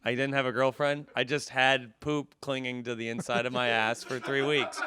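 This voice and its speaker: A man's voice: mid-range voice, little pitch movement, normal speed, noisy background. A man telling self-deprecating comic stories as a podcast guest in casual, profane talk, often acting out dialogue. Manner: laughs through his stories, acts out dialogue. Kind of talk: animated comedic storytelling, casual chat.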